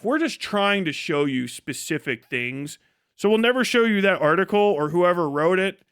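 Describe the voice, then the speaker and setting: Casual male streamer, smooth and fairly high-pitched, very dry sound. Sardonic, exasperated, swears constantly, rambles freely. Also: a bit bright, dry recording. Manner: heavily profane. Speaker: livestreamer and video creator giving a work update. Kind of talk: casual rambling livestream chat.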